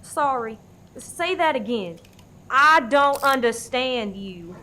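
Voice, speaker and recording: Woman acting tough commanding interrogator, sharp bright voice, slow deliberate pace, comedic radio play. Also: high-pitched, lively intonation, heavily acted. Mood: menacing. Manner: commanding, barking orders. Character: bossy, threatening interrogator known as Sarge.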